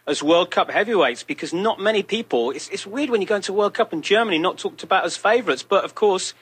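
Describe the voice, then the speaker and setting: A man giving football analysis as a pundit, conversational and animated. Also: high-pitched, fast, husky.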